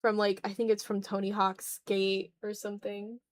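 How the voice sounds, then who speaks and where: A woman's voice: medium pitch, flat delivery, normal speed. A woman livestreamer chatting casually while drawing, thinking aloud in fragments with a self-deprecating manner. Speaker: art livestreamer.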